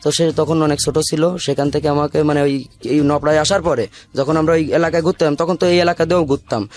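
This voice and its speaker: A man's voice: on the high side, darkish tone. A man with a southwestern Bangladeshi accent from the Khulna region, recounting his life informally in a rambling, repetitive way and acting out remembered dialogue. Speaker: guest telling their life story. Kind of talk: informal personal confession, storytelling. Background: background music.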